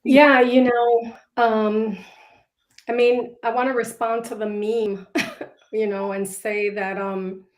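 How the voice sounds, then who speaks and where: A woman hosting a panel discussion who speaks thoughtfully and pauses to search for her words. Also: on the high side, little pitch movement, echoey room. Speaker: host.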